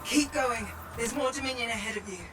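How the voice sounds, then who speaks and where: An actress playing a devoted young support guide in a video game, speaking earnestly and with steady urgency. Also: female voice, fairly high pitch, a little fast, loud music behind the voice, lightly acted, rough recording. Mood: alert.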